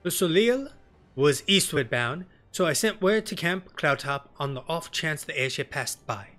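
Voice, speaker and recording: Dutiful woman officer , voiced by a man, fantasy game: formal, businesslike, raised pitch, light music. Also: lively intonation, unhurried, a bit bright. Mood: dutiful, reporting. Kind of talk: fantasy game dialogue reading.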